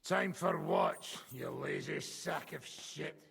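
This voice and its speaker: A man's voice: a little high, takes it slowly, gravelly, fairly bright tone, husky, heavily acted. An actor playing a surly, foul-mouthed soldier who curses and barks orders at his comrade. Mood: gruff.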